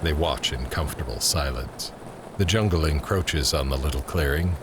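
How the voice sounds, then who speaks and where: An extremely low male voice narrates scenes like a screenplay being read out. The delivery is measured and descriptive, with faint ambience behind it. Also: noisy background. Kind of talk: scripted screenplay-style narration.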